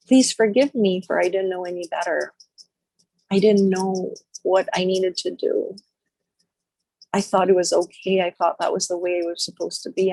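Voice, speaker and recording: A woman leading a guided healing meditation, speaking slowly and softly in short repeated phrases with long pauses. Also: mid-range voice, creaky, husky, no room sound, rough recording. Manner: slow, soft, repetitive phrases with long pauses.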